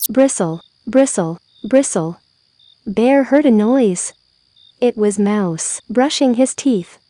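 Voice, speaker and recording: A woman reading a children's bedtime story aloud in a measured storytelling manner. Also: on the high side, animated, mellow, a little hiss or hum. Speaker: storybook reader.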